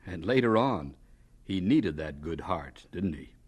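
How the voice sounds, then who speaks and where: An actor playing a folksy, deferential man reminiscing in a radio drama, measured and prompting with questions. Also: sits low, lively intonation, husky, full character voice. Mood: reflective.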